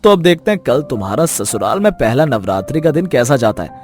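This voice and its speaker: A man voicing a gentle, persuasive husband. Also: medium pitch, a little fast, close to an everyday voice. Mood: calm. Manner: calm, persuasive. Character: gentle reasoning young husband. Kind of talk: animated moral story dubbing. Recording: music bed behind voice.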